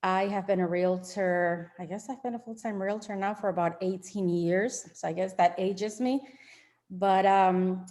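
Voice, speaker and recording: A woman's voice: mid-range voice, a little mellow. A middle-aged woman realtor introducing herself and her work to a group in an online meeting. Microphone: video call.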